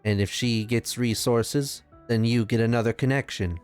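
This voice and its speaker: Man playing an earnest, clear-voiced adventurer. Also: medium pitch, steady tone, moderate pace, a little mellow, soft background music, natural voice. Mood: insistent. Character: earnest, determined mortal adventurer seeking guidance.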